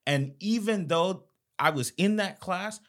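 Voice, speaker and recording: A man's voice: high voice, a little slow, fairly bright tone. A man from Florida co-hosting a podcast, telling stories emphatically in casual discussion. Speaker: podcast co-host.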